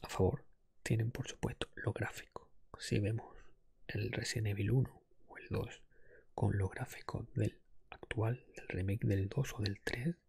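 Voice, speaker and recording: Male ASMR podcaster, breathy whisper, low-pitched, dry close sound, hesitant explanatory monologue. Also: fairly even intonation, unhurried, a little mellow, no echo. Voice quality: breathy, mostly unvoiced whisper. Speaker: podcast host.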